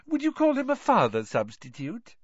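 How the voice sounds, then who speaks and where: A man's voice: very high voice, wildly swooping pitch, moderate pace, slightly rough, dry recording, highs cut off, voice pushed up, heavily acted. A man voicing a smug, prying woman tabloid journalist in an audiobook, gushing, insincere and condescending. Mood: sugary, probing.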